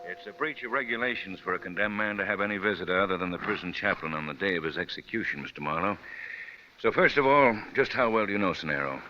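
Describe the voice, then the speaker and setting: An actor playing a stern, shrewd prison warden with hidden compassion, speaking formally and deliberately in mid-century radio drama style. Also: male voice, low voice, pushed and bright, a little hiss or hum. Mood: formal questioning. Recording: dull old radio recording.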